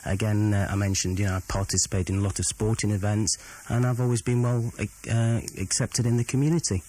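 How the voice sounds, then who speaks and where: A middle-aged man from Sheffield, recalling his life hesitantly as an interviewee, pausing often. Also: on the low side, steady tone, dark tone, slight background noise, low-quality recording. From England (Sheffield). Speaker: interviewee, business owner. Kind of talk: reminiscing in an oral history interview.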